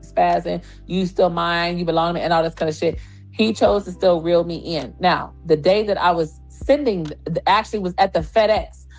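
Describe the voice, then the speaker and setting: A defensive woman ranting on a stream, cursing freely as she argues her side. Her voice sits a little low, with faint music under it. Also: moderate pace, a little mellow. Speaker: streamer in a played clip. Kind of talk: heated live-stream rant.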